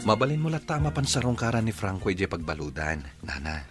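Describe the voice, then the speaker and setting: A man playing a calm, supportive husband and employer in a radio drama, speaking in a measured, reassuring way. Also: fairly low pitch, loud music behind the voice. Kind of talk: radio drama dialogue in Ilocano.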